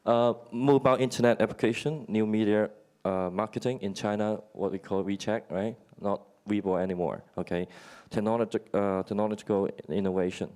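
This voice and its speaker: Guy from hong kong pitching his firm on a panel, low voice, jokey, loose grammar. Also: fairly even intonation, a little fast, warm tone. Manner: joking, non-native grammar. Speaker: founder and CEO panelist. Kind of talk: conference panel introduction. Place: conference room.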